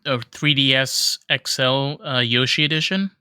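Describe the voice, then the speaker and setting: Clear, bright-voiced podcast co-host bantering freely. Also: fairly even intonation, unhurried, no echo, studio quality. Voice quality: deep voice compared with co-host. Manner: informal, swearing freely. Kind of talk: casual banter.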